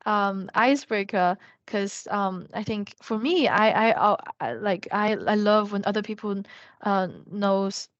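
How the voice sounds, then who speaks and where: A young woman chats casually as a podcast guest. Her speech rambles with lots of "like" and "um" fillers at a middle pitch. The recording is dull and dry, with no room sound. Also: measured pace, dry recording. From China. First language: Mandarin. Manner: rambling, heavy use of "like" and "um".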